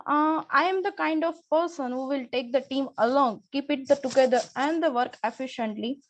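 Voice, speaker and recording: This high-voiced woman. She gives an earnest, formal self-introduction as a student candidate over a video call. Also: normal speed. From India. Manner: earnest, formal, plain phrasing. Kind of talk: prepared self-introduction speech.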